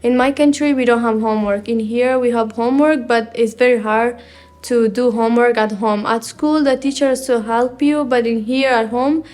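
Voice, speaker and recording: A teenage girl from Afghanistan, telling her school story in halting, simple learner English with many pauses. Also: fairly high pitch, steady tone, darkish tone. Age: teens. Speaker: student. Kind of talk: personal testimony.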